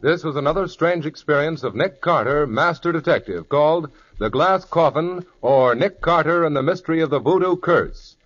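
A man's voice: on the high side, animated, normal speed, hardly any pauses, fairly bright tone, faint music behind. Formal old-time radio announcer, man. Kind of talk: formal 1940s broadcast announcing. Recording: dull 1940s radio recording.

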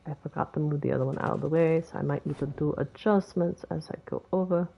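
A woman's voice: sits low, fairly even intonation, fast talker, vocal fry, warm tone, husky. A woman with a Boston accent, giving rambling, chatty commentary for a game tutorial. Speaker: game video creator. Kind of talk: casual gameplay tutorial commentary.

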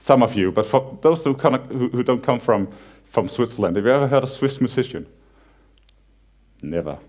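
A man in his thirties with a Danish accent, giving a relaxed conference talk in a cinema hall with dry jokes and halting restarts. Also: mid-range voice. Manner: relaxed, self-deprecating humor with frequent halting restarts. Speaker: conference speaker. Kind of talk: conference talk, casual and joking. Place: large cinema hall on stage.

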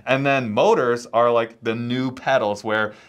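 An animated man in his thirties chats casually as co-host, piling on restarts and quick asides. His voice sits at an ordinary middle pitch. He is recorded close on a lapel mic in a small room full of gear. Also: clean tone. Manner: animated, talks with his hands, restarts a lot. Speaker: podcast co-host. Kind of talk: casual chat.

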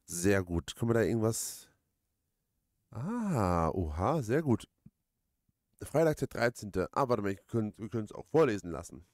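Guy doing a let's play, lowish voice, dry close sound, rambles and repeats himself. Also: very animated, a little mellow, dry recording. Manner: halting, rambling, repeats words. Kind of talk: casual gaming commentary.

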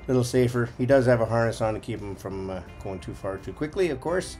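A man's voice: mid-range voice, fast talker, over music. A man giving a casual, folksy running commentary as a vlog host, explaining as he goes.

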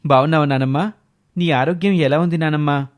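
A man's voice: a little high, steady tone, brisk, close to an everyday voice. Man acting an earnest, dutiful young man. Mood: caring. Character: respectful young adult grandsons, earnest, dutiful, caring brothers. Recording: music behind the voice.

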